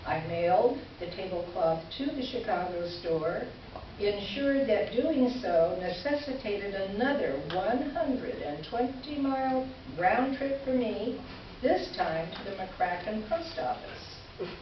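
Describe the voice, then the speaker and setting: A woman playing an exasperated, sardonic letter writer in a staged reading, with a measured, dry, indignant delivery. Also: mid-range voice, expressive. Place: live stage reading in a room, amplified. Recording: roomy, distant, muffled, slightly noisy live recording.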